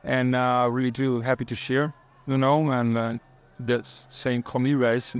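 A man's voice: medium pitch, flat delivery, a little slow, clean tone. A man from Lithuania, a panel guest, rambling and searching for words as he talks about himself. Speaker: panel guest, event organizer and DJ. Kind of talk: casual self-introduction.

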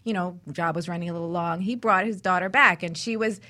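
A woman's voice: lowish voice, a little fast, pushed and bright. A middle-aged woman hosting a talk show, chatting and telling stories casually and affectionately.

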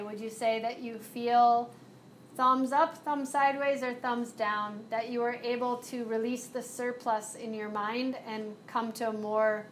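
A woman instructor guiding a live chair yoga and mindfulness class, cueing movements and breaths in a calm, unhurried way. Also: on the high side, a little hiss or hum. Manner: slow, soothing cueing with breath prompts.

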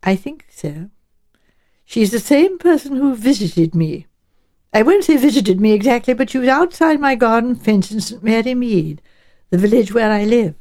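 A woman's voice: fairly high pitch, lively intonation, normal speed, a little mellow, lightly acted. A woman voicing a shrewd, genteel elderly English village spinster, musing and politely chatty, in an audiobook. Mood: chatty, puzzled.

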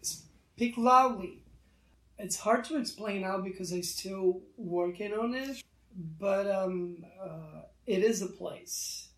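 Slow, halting man in a roomy space. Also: high voice, a bit bright, far from the mic. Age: 30s. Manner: halting, many restarts, earnest. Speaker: guest artist. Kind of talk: reflective interview talk.

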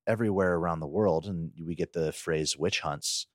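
Deep-voiced man chatting as podcast co-host. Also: moderate pace, no room sound, clean studio sound. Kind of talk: casual conversational discussion.

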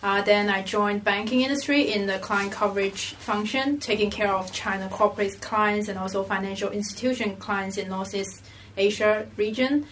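A woman's voice: mid-range voice, normal speed, a bit bright, distant mic, slight background noise, rough recording. A young woman with a Hong Kong accent introducing her career informally as a podcast guest. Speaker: podcast guest, finance professional.

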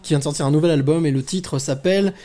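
A man hosting a casual podcast, chiming in with quick questions and short reactions. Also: a little high, mellow, faint music behind. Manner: quick, eager, chimes in often with short reactions. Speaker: podcast host. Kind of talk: casual radio-style chat.

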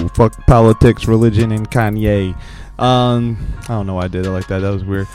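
A man's voice: on the low side, darkish tone. A man hosting a podcast in a casual, joking, profane and rambling way. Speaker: podcast host. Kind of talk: casual comedic chat.

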